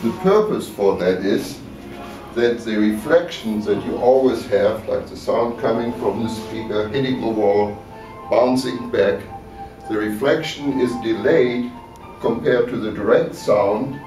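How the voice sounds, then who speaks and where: A man giving a measured technical explanation in an interview, checking that he is understood. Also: lowish voice. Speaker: loudspeaker designer. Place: exhibit room at an audio show. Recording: echoey room, distant mic, music behind.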